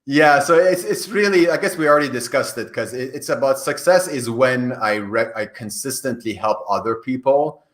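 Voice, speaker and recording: A man's voice: mid-range voice, moderate pace, hardly any pauses. A man from Iran, a native Farsi speaker, giving earnest, explanatory answers in a conversational interview as a career coach. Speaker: career coach, podcast guest. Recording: echoey, reverberant room.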